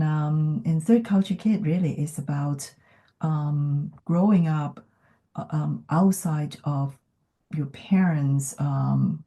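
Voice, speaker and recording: A woman from Hong Kong, whose first language is not English, speaking in a thoughtful podcast conversation with many pauses and fillers. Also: low voice, warm tone. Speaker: podcast guest. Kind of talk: reflective conversation.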